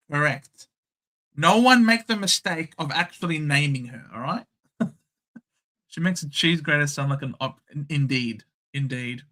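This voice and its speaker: A man's voice: on the high side, bright, forceful tone. An Australian man hosting a casual livestream, chatting, thinking aloud and reading out viewers' names. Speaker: livestream host.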